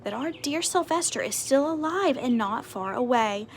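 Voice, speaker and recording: A woman's voice: high-pitched, lively intonation, moderate pace, slight background noise. A woman voicing a tender, remorseful donkey mother from a storybook, earnest and emotional. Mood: wistful.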